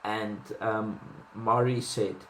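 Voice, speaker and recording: A man's voice: on the low side, steady tone, takes it slowly, a bit bright, roomy sound, slight background noise, low-quality recording. A man with a South African accent giving casual boxing commentary, chatty, with many ums and restarts and self-deprecating asides.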